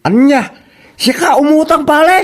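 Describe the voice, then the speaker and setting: A man's voice: very high voice, lively intonation, unhurried, a bit bright, heavily acted. A man acting a teasing, sceptical village buddy in an Ilocano comedy drama, mocking and exclamatory.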